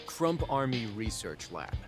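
Cocky, lively rebel adventurer. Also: male voice, medium pitch, unhurried, faint music behind. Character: cocky, flirtatious, showy male rebel adventurer. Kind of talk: video game cutscene acting.

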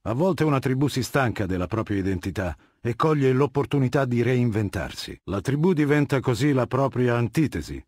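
An actor playing an imperious, ruthless middle-aged warlord in a dubbed video game, commanding, measured and scornful. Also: male voice, mid-range voice, expressive, a little mellow, dry recording, clean studio sound, lightly acted. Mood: measured authority. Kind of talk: dramatic game dubbing, scripted dialogue.

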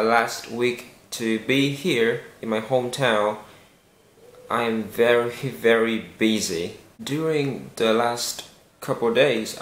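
A young man, Vietnamese his first language, speaks straight to camera at an unhurried pace with small hesitations. His voice sits in a middle pitch. The small bare room gives the sound a clear echo. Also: a bit bright. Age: young adult. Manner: slow, careful and earnest, with some hesitations. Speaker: vlogger. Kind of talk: casual vlog to camera. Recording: echoey room.

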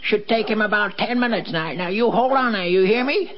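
A man's voice: high voice, fairly quick, lightly acted. A man playing an agitated, stammering local in a vintage radio drama. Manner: stammering, excited. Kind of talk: mid-century radio drama acting. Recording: noisy, dull lo-fi vintage radio.

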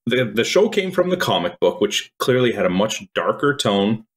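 A man from Croatia co-hosting a podcast, explaining things in a measured, storytelling way. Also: fairly low pitch, sing-song. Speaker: podcast co-host. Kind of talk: casual podcast chat.

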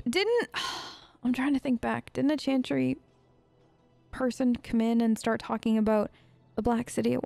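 A woman with a fairly high voice improvises role-play as a gaming host. She is informal and playful, rambling along in a chatty way, with light game music underneath. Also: dry recording. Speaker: gaming video host, role-playing her character.

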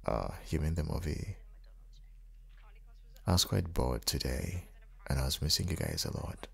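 A man playing an affectionate, playfully teasing young dad and husband in an intimate ASMR audio roleplay, speaking softly and often dropping into whispers. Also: animated, heavily acted. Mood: tender. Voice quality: very deep, husky, gravelly, breathy. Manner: soft, unhurried, often slipping into whispers.

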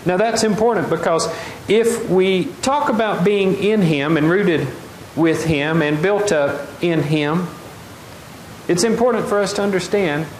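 Middle-aged preacher, higher voice, roomy hall with faint hiss, keeps asking the crowd stuff. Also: male voice. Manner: animated, gestures widely, asks the congregation questions. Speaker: pastor. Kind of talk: sermon. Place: large wooden open-air church hall. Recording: echoey hall, faint noise.

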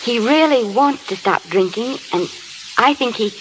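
A woman's voice: a little high, expressive, normal speed, close to an everyday voice. Casting: woman as sweet, anxious young leading lady, earnest, vintage radio drama with heavy crackle and reverb. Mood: tender, worried. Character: sweet, anxious young actress devoted to troubled husband. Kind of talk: 1930s radio acting. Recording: noisy, crackling old radio recording, long reverb.

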